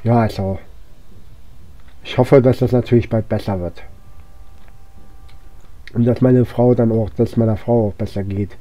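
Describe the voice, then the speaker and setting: A hoarse man with a cold giving casual, rambling gameplay commentary, with lots of fillers and restarts. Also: lowish voice, fairly even intonation, unhurried, long pauses, mellow, muffled recording. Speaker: let's play gamer.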